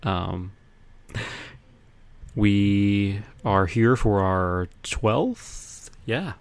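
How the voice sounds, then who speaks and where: A man's voice: on the low side, extremely slow, creaky, clean tone, dry recording. A man hosting a casual podcast with friends, bantering in a jokey, self-deprecating manner. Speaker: podcast host.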